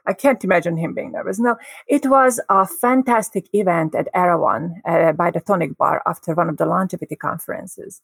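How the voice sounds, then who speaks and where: Woman guest, vivid storyteller, gravelly texture, dry close sound. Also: fairly low pitch, a little fast, few pauses, no room sound. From Hungary. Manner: expressive, lively storyteller. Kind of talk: casual storytelling.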